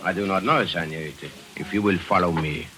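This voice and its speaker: An actor playing a polite hotel bellboy in a 1940s radio drama, formal and deferential. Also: male voice, low voice, fairly quick, lightly acted.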